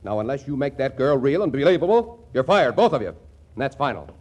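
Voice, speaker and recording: An actor playing an angry, blustering advertising boss in 1940s radio comedy style, forceful and scolding. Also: male voice, mid-range voice, big pitch swings, a little fast, dull audio, lightly acted. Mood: angry, stern. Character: angry, blustering advertising agency boss reprimanding his writers. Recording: noisy old radio broadcast with clicks.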